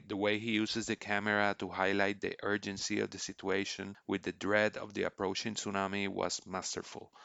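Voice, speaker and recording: A man hosts a film review in a low register with a gravelly edge. His delivery is measured and earnest, reading prepared commentary, and the sound is very dry. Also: a bit bright, no echo, muffled recording. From Puerto Rico. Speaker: film podcast host. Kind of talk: scripted film review narration.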